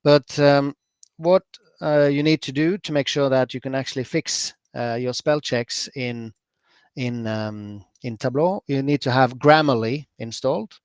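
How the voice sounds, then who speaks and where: A man from Norway with a Norwegian accent, talking through a software demonstration for a user group. His voice sits at an ordinary middle pitch and is recorded dry and close. He keeps things loose and chatty, full of fillers and quick self-corrections. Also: smooth, no room sound. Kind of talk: screen-share software demo.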